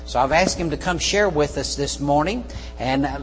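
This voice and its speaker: Higher-voiced pastor in a reverberant hall. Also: male voice, moderate pace, a little hiss or hum. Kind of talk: introducing a guest speaker. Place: church service.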